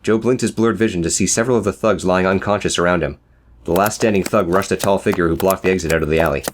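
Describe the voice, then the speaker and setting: A man's voice: sits low, quick pace. A man narrating a scripted, dramatic story as an audiobook reader.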